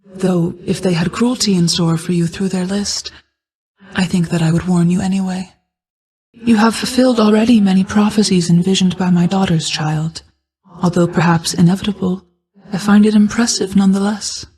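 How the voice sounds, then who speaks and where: A woman voicing an ancient, stately goddess of night in a video game, a stern but caring mother who speaks in a formal, measured, regal way. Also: medium pitch, fairly even intonation, warm tone, natural voice, low-quality recording. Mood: solemn, knowing.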